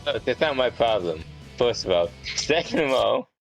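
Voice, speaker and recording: A man's voice: mid-range voice, very animated, normal speed, creaky, poor audio. A man chatting casually over a video game, arguing his case point by point. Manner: argumentative, point-by-point reasoning. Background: game music.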